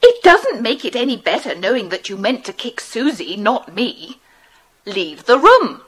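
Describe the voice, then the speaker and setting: A woman's voice: on the high side, very animated, a little slow, slightly rough, heavily acted. An actress playing a strict, indignant children's minder in an audio drama, scolding as she speaks. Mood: stern, indignant. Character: stern, indignant woman looking after children.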